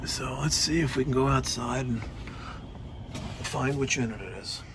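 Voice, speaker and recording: A man's voice: medium pitch, normal speed. A man in his forties, an HVAC technician, chatting casually to viewers while working on a service call. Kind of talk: first-person service call vlog. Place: outdoors beside AC units at a large house. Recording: background AC and outdoor noise.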